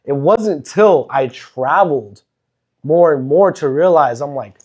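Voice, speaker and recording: A man's voice: on the high side, lively intonation, measured pace, darkish tone, highs cut off. American male podcast guest, conversational, informal, bro-and-dude slang. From born in Karachi, Pakistan, grew up in South Florida. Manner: slangy, says man, bro and dude often. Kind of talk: casual conversation.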